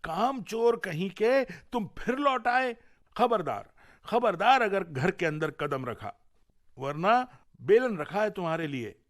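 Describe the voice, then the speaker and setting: Man putting on a shrill, scolding folk-tale wife. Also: expressive, takes it slowly, fairly bright tone, full character voice. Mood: furious scolding. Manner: shrieking, threatening, exasperated. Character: scolding, hot-tempered wife of an idle husband.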